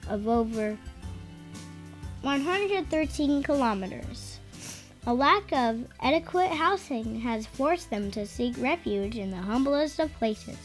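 Female performer as a solemn radio announcer, high pitch, slow storytelling, music behind. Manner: deliberate, storytelling. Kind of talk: scripted old-fashioned radio narration.

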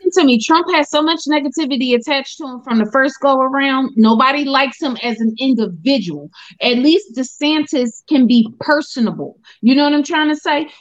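A woman's voice: high voice, a little fast, few pauses, no echo. A woman speaking bluntly and argumentatively, swearing freely in a casual podcast debate. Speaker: podcast panelist. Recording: dull, muffled audio.

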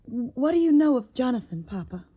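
An actress playing an earnest, devoted young Tennessee daughter in a radio drama, with a soft, breathy, tender way of pleading. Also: female voice, high voice, very animated, brisk, dull audio, heavily acted. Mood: defensive.

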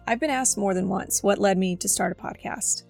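A woman's voice: mid-range voice, normal speed, vocal fry, a little mellow, faint music behind. A woman with a scratchy voice hosting a faith podcast, reading her own story in an earnest, reflective manner from a script. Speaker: podcast host. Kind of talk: scripted devotional podcast narration.

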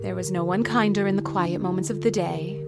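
An arch, witty woman, played by an actress needles her detective ex in a mid-pitched voice. It is sardonic audio-drama noir with prominent music beneath her. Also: fairly even intonation, a little fast, vocal fry, lightly acted. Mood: mock solemn.

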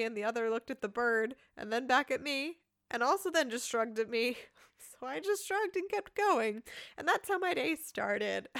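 A woman with a fairly high voice hosts a podcast, chatting casually and rambling with frequent giggles. She is recorded close and dry, with no room sound around her. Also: fairly bright tone, no room sound. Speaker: podcast host.

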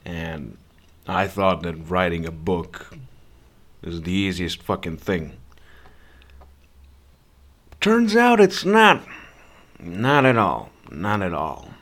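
A man's voice: low voice, very animated, takes it slowly, pauses often, a bit bright. A young man from Serbia, hosting a podcast in a sarcastic, profane, blunt rant. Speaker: podcast host. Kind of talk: ranting monologue.

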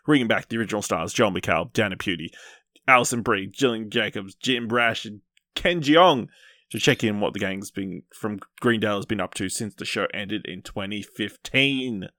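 A man's voice: lowish voice, moderate pace, pushed and bright, no echo, clean studio sound. A man co-hosting a podcast, chatting casually through film and TV news. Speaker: podcast co-host.

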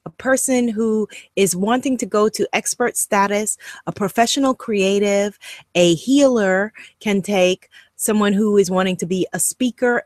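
A middle-aged woman from Guyana teaches an online course, her Guyanese accent light but present. She talks slowly and deliberately, leaning into words with upbeat emphasis. The sound is close and dry, with no room around it. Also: mid-range voice, no room sound. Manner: upbeat, animated, emphatic and encouraging. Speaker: online course host and coach. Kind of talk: direct-to-camera e-course lesson.

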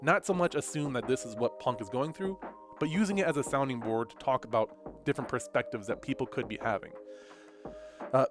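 A man with a stuffy nose and a slightly nasal voice, musing in casual commentary to his viewers with frequent fillers and restarts. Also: mid-range voice. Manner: thoughtful, rambling, with frequent fillers. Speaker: fighting game commentator and content creator. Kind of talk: casual commentary talking to camera.

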